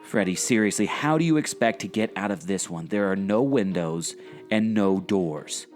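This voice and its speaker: A man acting an excitable, anxious and skeptical adventurer sidekick in an audio adventure play. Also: lowish voice, normal speed, soft background music, lightly acted.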